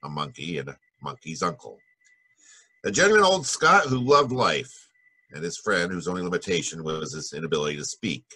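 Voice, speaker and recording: An actor playing a hardboiled, wisecracking American private detective in a 1940s-style radio noir. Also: male voice, deep voice, big pitch swings, moderate pace, bright, forceful tone, slightly rough, exaggerated voice, low-quality recording. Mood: wry narration.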